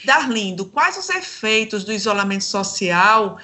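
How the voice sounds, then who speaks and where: A woman's voice: mid-range voice, big pitch swings, a little slow, sharp tone. A Brazilian woman presenting and interviewing as a radio host, in a scripted presenting style.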